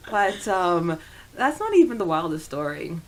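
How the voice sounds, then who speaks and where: A woman's voice: fairly low pitch, very animated, a little slow, low-quality recording. A woman co-hosting a podcast, telling personal anecdotes informally and acting out the quoted dialogue. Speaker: podcast host. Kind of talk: casual personal storytelling.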